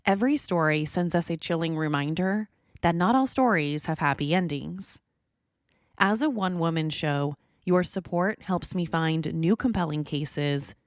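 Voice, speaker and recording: An American woman hosting a true crime podcast, narrating from a script in a measured, serious storytelling manner. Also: deep voice, dry recording, studio quality.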